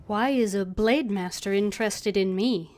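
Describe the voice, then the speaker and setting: A woman playing a composed, willing adventurer hero in a fantasy video game, replying briefly and in a measured way. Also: medium pitch, darkish tone, natural voice.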